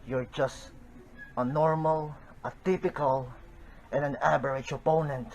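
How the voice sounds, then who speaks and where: A man from the Philippines, a pro wrestler cutting a slow, deliberate, menacing promo against a rival. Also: fairly high pitch, steady tone, darkish tone, a little hiss or hum, low-quality recording.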